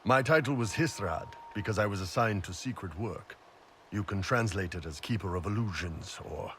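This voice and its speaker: A low-voiced, gruff mercenary giant. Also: male voice, normal speed, a bit bright, a little hiss or hum. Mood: hearty. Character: big horned Qunari mercenary warrior, gruff, laid-back. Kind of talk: video game dialogue.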